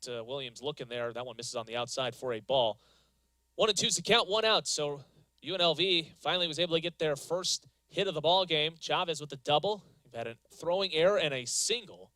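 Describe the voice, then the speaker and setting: A man calling live softball play-by-play as a sports announcer, moving between measured stat recaps and calls of the action. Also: medium pitch, dry recording, low-quality recording. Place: live broadcast from a softball stadium.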